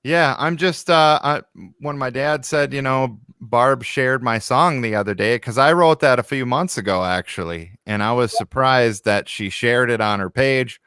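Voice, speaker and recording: Male live-stream guest, smooth and dry-sounding, casual, slightly hesitant delivery. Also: mid-range voice, moderate pace, few pauses, pushed and bright, no echo. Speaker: musician guest.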